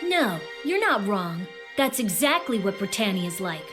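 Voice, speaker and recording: A woman's voice: mid-range voice, sing-song, unhurried. A woman playing a proud, bitter young exiled prince in an audio drama, cool, defiant and articulate. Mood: cold, accusing. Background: background music.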